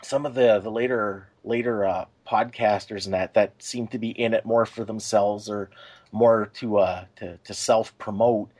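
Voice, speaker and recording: A man hosting a casual podcast discussion, building his points in a halting, circling way. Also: lowish voice, fairly even intonation, darkish tone. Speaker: podcast host.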